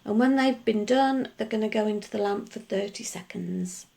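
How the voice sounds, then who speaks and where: A middle-aged woman talking through a nail art tutorial in a chatty, rambling way, her voice gruff from just waking up. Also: mid-range voice, moderate pace, mellow. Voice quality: gruff, gravelly. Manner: chatty, rambling explanations with many asides. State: just woken up. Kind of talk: casual tutorial voice-over.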